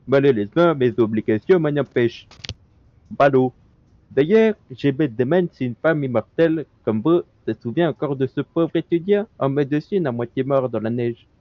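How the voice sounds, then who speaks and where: A man's voice: a little high, no echo, lo-fi sound. A male streamer reading in-game documents aloud during a co-op session, stumbling over words and breaking off into joking asides.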